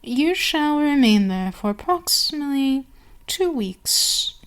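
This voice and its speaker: A woman playing a cruel, seductive vampire queen in an ASMR roleplay, speaking haughtily and deliberately, with lingering pauses and breathy whispers. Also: sits high, very animated, exaggerated voice. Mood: haughty scheming.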